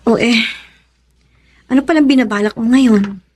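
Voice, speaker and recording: An actress playing a considerate, apologetic younger sister in a Filipino radio drama, speaking in a conciliatory way. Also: female voice, on the high side, normal speed, a little mellow, close to an everyday voice. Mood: apologetic, concerned. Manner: conciliatory, thoughtful.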